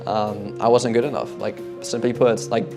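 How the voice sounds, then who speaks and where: A man's voice: lowish voice, fairly even intonation, normal speed, vocal fry. A man in his twenties from the Netherlands, a fitness coach giving a casual motivational talk, rambling and filling his sentences with "like" and "kinda". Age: 20s. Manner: rambling, heavy use of "like" and "kinda". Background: guitar music.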